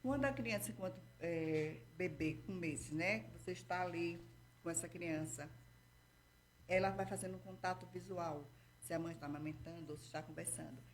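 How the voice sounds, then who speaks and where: A woman's voice: on the low side, moderate pace, slight background noise. A woman speaking Brazilian Portuguese, a therapist explaining patiently and didactically, checking in often with her listener. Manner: didactic, checks in with frequent "tá?" and "entendeu?". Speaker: guest therapist. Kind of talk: explanatory interview talk.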